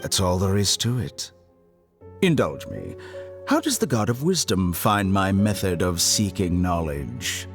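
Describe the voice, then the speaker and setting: Smug scholar villain, low voice, animated swoops, deliberate, theatrical, video game, background music. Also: male voice, exaggerated voice. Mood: coolly amused. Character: calm, arrogant male scholar villain, coldly curious. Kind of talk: dramatic video game voice acting.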